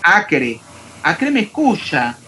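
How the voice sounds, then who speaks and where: A man from Panama, teaching a chemistry class online and questioning students. He talks about clear diction and sounds out every s. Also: a little high, wildly swooping pitch, takes it slowly, pushed and bright, slight background noise, poor audio.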